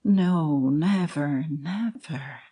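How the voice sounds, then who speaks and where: A man playing an ardent, lovesick young man, earnest and melancholy, with a husky voice and a yearning, confessional delivery in a literary dramatic reading. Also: fairly high pitch, extremely slow, dark tone, exaggerated voice. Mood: anguished.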